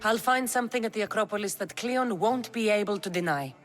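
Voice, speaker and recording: An actress playing a determined warrior-mercenary who speaks in a direct, resolute way. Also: female voice, medium pitch, brisk, a bit bright, soft background music, natural voice.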